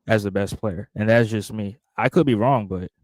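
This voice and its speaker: A man with a fairly low voice talks basketball in a deliberate, hedging way. The sound is dry, with little room around it. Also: no echo. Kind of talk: casual sports chat.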